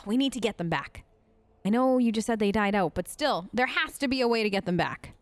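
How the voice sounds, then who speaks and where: A woman's voice: fairly high pitch, lively intonation, fairly quick, no echo. Chatty woman on a dry, close mic. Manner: chatty, conversational. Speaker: gaming video host. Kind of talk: casual in-character role-play of game dialogue.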